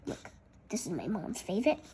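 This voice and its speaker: A storyteller reading a picture book aloud in a careful, halting way, with childlike, chatty asides about the pictures. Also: medium pitch, sing-song, mellow, a little hiss or hum.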